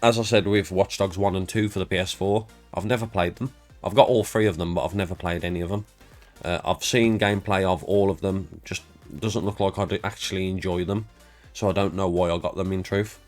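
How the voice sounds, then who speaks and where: A man with a low voice talks in a laid-back, rambling way, his voice audibly stuffed up and congested. He sounds easygoing and a little self-deprecating. Soft music plays faintly underneath. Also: fairly even intonation, a little fast. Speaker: YouTube creator. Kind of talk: casual game collection walkthrough.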